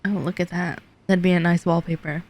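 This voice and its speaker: A woman's voice: on the low side, flat delivery, fairly quick, darkish tone, a little hiss or hum. A woman streamer giving casual reaction commentary as she plays a video game.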